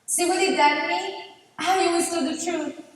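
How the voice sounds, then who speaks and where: A woman's voice: very high-pitched, steady tone, normal speed. A woman playing a sly, proud outcast witch in a stage play, theatrical and declamatory. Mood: cruelly frank. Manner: theatrical, declamatory, with Spanish phrases. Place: large indoor theater stage, distant camera. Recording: echoey theater stage from far off.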